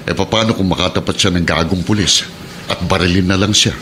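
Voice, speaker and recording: Low-voiced, kind Filipino police sergeant. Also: male voice, fairly even intonation, brisk, fairly bright tone, slight background noise. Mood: pleading. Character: kind-hearted, patient police sergeant, a husband. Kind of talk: Filipino radio drama acting.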